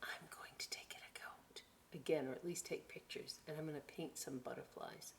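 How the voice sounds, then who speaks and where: A woman hosting a storytime for young children, reading expressively with drawn-out words and chatty, encouraging asides. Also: sits low, gravelly, whispered. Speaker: children's library storytime host.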